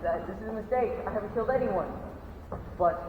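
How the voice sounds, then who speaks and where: A man's voice: high-pitched, fast, close to an everyday voice. A young man playing a deferential, flustered manor butler in an amateur stage murder-mystery farce, speaking in a formal, servile manner. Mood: protesting. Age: teens or young adult. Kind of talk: amateur stage comedy acting. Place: high school theatre rehearsal. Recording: echoey hall from far off, muffled, noisy.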